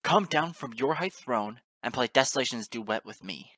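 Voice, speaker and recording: A man voicing a furious, desperate, defiant pony hero in a fantasy story, shouting, snarling and ranting. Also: mid-range voice, animated, normal speed, dry recording. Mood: defiant, commanding. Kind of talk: dramatic fantasy story reading.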